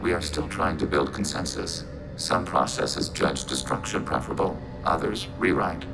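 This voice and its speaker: Very deep, gravelly robot. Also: male voice, over loud music, low-quality recording. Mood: clinical, informative. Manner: measured, clinical, formal, speaks as "we". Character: calm synthetic robot, a logical collective machine mind. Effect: synthetic robot voice processing. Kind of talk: video game character acting.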